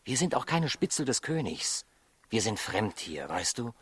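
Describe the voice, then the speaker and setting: An actor playing a wry, inquisitive traveling stranger in a 1960s radio play, with a coaxing, questioning manner. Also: male voice, on the low side, sing-song, normal speed, husky, no echo, exaggerated voice. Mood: coaxing, reassuring.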